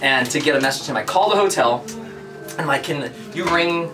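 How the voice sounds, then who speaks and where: A man's voice: on the high side, expressive, far from the mic, music in the background. A man, a touring musician, telling a casual anecdote and quoting himself. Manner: quick, storytelling with quoted speech. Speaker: touring band member.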